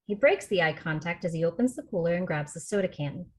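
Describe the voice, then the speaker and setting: A woman's voice: lowish voice, animated, quick pace. A woman reading scripted screenplay narration as the stage-direction reader on a video call.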